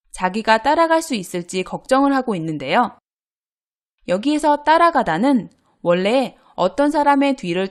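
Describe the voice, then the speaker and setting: A woman teaching a grammar lesson, explaining example dialogues clearly and patiently. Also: mid-range voice. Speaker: Korean language teacher.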